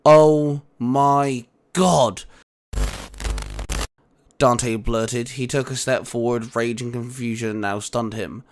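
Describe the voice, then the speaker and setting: A man's voice: medium pitch, little pitch movement, unhurried, few pauses, slight background noise. A man reading scripted fiction as an audiobook narrator, in an emphatic, theatrical way.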